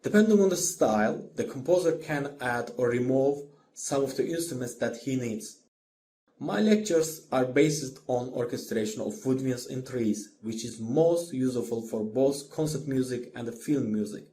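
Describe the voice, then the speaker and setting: A man's voice: medium pitch, lively intonation, moderate pace, dark tone, a little hiss or hum. A man with an Azerbaijani accent narrates a scripted lecture as a teacher, methodically, in careful short phrases. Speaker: orchestration teacher.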